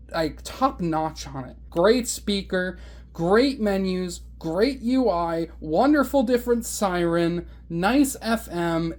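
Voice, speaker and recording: Lower-pitched woman rambling like an enthusiast. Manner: animated enthusiast, playfully grumbling. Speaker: hobbyist reviewer of weather radios. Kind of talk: casual rambling vlog review.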